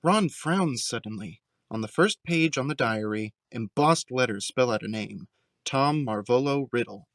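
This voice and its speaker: A man's voice: medium pitch, expressive, a little slow, a bit bright, no echo, clean studio sound. A man narrating a scripted audio drama, describing the scenes as a storyteller.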